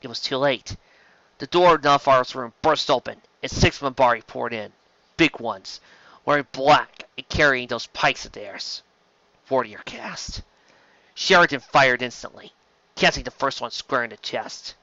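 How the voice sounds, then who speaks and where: A man narrates a story in a bright, forceful voice. He reads scripted prose dramatically. The recording is very dry and a little dull. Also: mid-range voice, a little slow, no echo. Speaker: reader of fan fiction.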